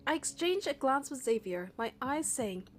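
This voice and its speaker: A woman's voice: high-pitched, sing-song, moderate pace. A woman narrating a game playthrough as a first-person story, reading out the text and dialogue.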